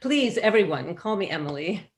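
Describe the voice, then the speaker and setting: A woman's voice: medium pitch, animated, moderate pace, close to an everyday voice. A woman playing a gracious, fussy suburban hostess with genteel manners in a staged play reading. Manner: polite, genteel.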